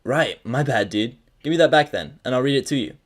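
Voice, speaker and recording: Guy doing a sarcastic cool girl dj pony, audio drama, higher voice, talks in slang. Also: expressive, fairly quick, natural voice. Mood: casual. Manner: slangy, laid-back, sarcastic. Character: cool brash female unicorn DJ pony, slangy.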